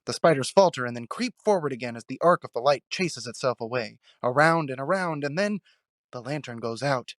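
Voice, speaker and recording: Casting: male narrator for suspenseful audio drama, mid-pitched, very dry sound, deliberate phrasing. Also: lively intonation, no echo.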